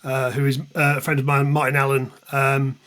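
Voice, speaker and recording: A man's voice: mid-range voice, completely flat delivery, normal speed, a bit bright. A man in his fifties with an English accent, chatting anecdotally and with self-deprecation as an interview guest. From England, East Midlands. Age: 50s. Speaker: guest, music journalist and author. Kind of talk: casual reminiscing in an interview.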